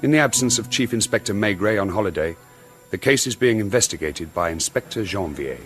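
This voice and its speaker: An actor voicing a formal, measured reader of a crime report in a radio drama. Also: male voice, on the low side, expressive, fairly bright tone, soft background music. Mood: sober, factual. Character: formal male reader of a police case report. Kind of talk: scripted narration in radio drama.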